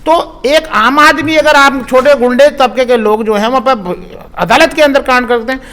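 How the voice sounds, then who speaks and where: High-pitched, emphatic man giving political commentary. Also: normal speed, pushed and bright. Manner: emphatic, repetitive, indignant. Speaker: interviewee commenting on crime and government. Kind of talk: impassioned political commentary in an interview.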